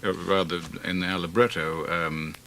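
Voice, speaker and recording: A man's voice: deep voice, little pitch movement, measured pace, a bit bright. A male opera singer, a guest on a radio interview, talking in a musing, hesitant way with many restarts. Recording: 1980s radio broadcast with faint hiss.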